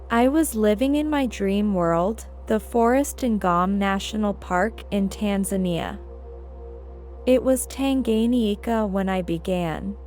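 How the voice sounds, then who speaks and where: Female narrator for inspirational quote readings, pitched a little high, light music bed. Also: a little mellow.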